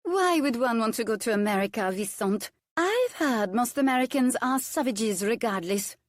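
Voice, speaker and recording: A woman's voice: mid-range voice, lively intonation, normal speed, no echo, clean studio sound, lightly acted. A woman voicing a haughty, tipsy viscountess with a sweet, sneering manner. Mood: sweetly spiteful.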